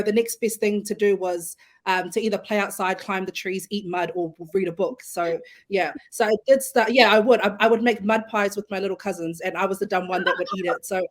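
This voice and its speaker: A woman guest on a podcast, talking in a relaxed, rambling way and often circling back mid-sentence. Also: medium pitch. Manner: relaxed, rambling, lots of fillers and restarts. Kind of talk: casual chat.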